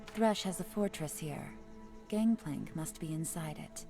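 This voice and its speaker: A woman playing a grave, wise mystical storyteller in a video game, speaking in a measured, dramatic way. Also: low-pitched. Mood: grave, warning. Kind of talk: scripted video game acting and narration. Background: loud music.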